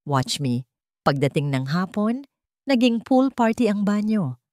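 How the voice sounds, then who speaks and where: A woman's voice: mid-range voice, very animated, a little slow, mellow, no room sound, clean studio sound. A woman with a Filipino accent telling a romantic drama as a scripted storyteller.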